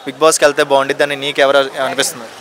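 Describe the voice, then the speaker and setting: Man, rapid-fire street interviewer, sharp bright tone, prodding questions. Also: mid-range voice, little pitch movement, a little hiss or hum. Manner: prodding, echoes answers back as questions. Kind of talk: vox pop interviewing.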